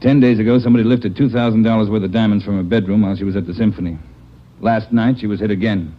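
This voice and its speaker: An American actor playing a gruff, impatient chief of detectives in a 1940s radio drama, with brusque delivery. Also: male voice, fairly low pitch, fairly even intonation, fast talker, lightly acted. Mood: irritated, demanding. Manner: curt, brusque. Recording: dull, noisy 1940s radio recording.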